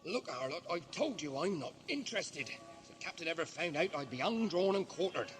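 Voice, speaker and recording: A rough, blustering English plotter from the seventeenth century acted by a man. His voice is high and swoops about, high-strung and menacing, over clear music in an old audio drama. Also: sing-song, a little fast. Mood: agitated.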